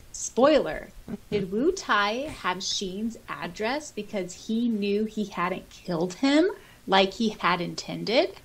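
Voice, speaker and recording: A woman co-hosting a podcast, chatting and reading comments aloud in an expressive, exclamatory way. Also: mid-range voice, low-quality recording. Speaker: podcast co-host. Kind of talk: casual chat, reading listener comments aloud.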